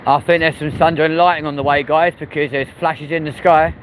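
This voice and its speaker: A chatty young man with a mixed London accent, walking the city streets as he hosts a live stream. He jokes around and reads viewers' comments aloud in a fairly high voice, with a faint hiss of outdoor noise behind him. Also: level tone, brisk. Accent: London, with a mix of areas. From South West London, England. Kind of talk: casual live-stream chat.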